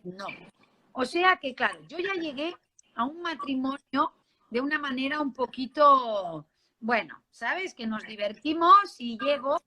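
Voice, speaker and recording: Bright, high-voiced woman with Barcelona accent. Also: slight background noise. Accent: Spanish from Barcelona. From Spain, Barcelona. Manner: conversational, seeks agreement with tag questions. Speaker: interview guest telling her life story. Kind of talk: informal autobiographical storytelling.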